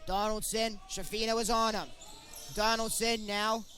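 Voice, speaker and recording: A man's voice: high-pitched, little pitch movement, a little slow, bright, forceful tone, soft background music. A young man calling live college basketball play-by-play in short, clipped present-tense phrases. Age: young adult. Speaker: play-by-play sportscaster.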